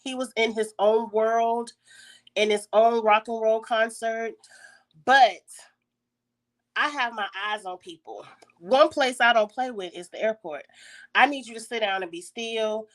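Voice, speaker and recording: Woman, raspy congested voice, clears her throat often, chatty storytelling, phone recording. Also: fairly high pitch, measured pace. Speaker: YouTube host. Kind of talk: casual story time.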